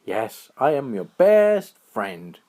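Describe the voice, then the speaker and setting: A man's voice: medium pitch, wildly swooping pitch, slow, warm tone, voice pushed up. A man voicing a friendly, brave and loyal young-hearted adventurer in a children's bedtime story, speaking earnestly in simple exclamations. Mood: reassuring.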